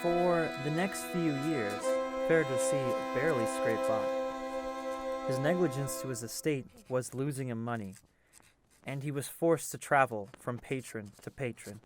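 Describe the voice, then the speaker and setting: A man narrating a historical tale as a storyteller, with theatrical, measured delivery. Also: mid-range voice. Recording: music under the voice. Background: musical instruments playing.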